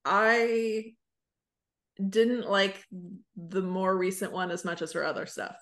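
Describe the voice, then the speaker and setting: Woman in her thirties, medium pitch. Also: little pitch movement, slow, a bit bright. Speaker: podcast co-host. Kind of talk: casual chat about books. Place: small room on a video call.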